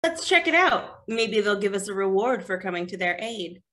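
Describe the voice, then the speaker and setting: A woman playing a treasure-loving, flippant adventurer with a casual, wry way of talking in an animated fantasy table read. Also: medium pitch, moderate pace. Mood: brisk.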